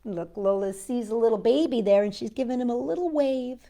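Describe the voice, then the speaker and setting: A woman's voice: on the high side, brisk, warm tone. A woman hosting a children's storytime, reading a picture book expressively and chatting to young listeners in asides.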